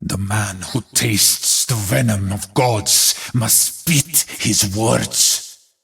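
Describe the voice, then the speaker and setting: Battle-worn stoic game warrior, deep and raspy, solemn and defiant, measured delivery. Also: male voice, a bit bright, full character voice. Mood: solemn, resolute. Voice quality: gravelly, husky. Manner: grave, measured, declamatory. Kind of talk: video game character voice lines.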